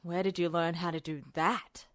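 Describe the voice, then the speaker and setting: A woman's voice: lowish voice, little pitch movement, moderate pace, a bit bright, no room sound, clean studio sound, voice pushed down, close to an everyday voice. A woman voicing a stoic, wry male commander in an audiobook story, with a clipped, understated delivery. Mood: taken aback. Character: adult man soldier, dry, wry, stoic commander. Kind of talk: audiobook character voice.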